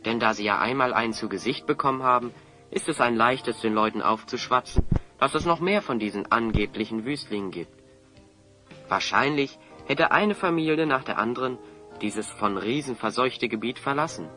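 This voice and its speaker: A man's voice: medium pitch, normal speed, sharp tone, faint music behind, close to an everyday voice. A man acting a clever, confident hero in an audio drama, articulate and assertive as he reasons things out. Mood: triumphant, explanatory. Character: clever, confident male hero explaining a scheme.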